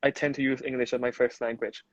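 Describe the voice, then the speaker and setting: A man's voice: medium pitch, flat delivery, fast, no room sound, lo-fi sound. A man in his twenties from Thailand, a native Thai speaker, chatting as a guest on a casual live-stream interview, full of restarts and "you know". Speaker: guest, actor.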